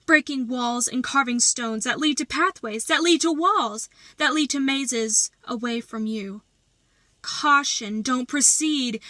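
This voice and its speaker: A woman performing slam poetry as a poet, with dramatic, deliberate delivery and emphatic phrasing. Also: sits high, sharp tone, dry recording.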